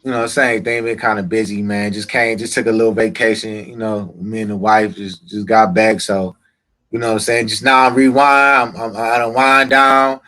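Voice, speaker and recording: A man's voice: fairly low pitch, flat delivery, moderate pace, pushed and bright. A middle-aged man co-hosting a casual podcast chat, laid-back and drawling, often repeating himself. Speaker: podcast co-host.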